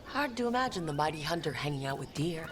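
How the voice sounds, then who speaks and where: Wry, lowish-voiced elf adventurer. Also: female voice, big pitch swings, fast talker, noisy background. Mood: measured, curious. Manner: wry, teasing. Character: adult female elf adventurer, wry, playful teasing companion. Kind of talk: video game dialogue acting.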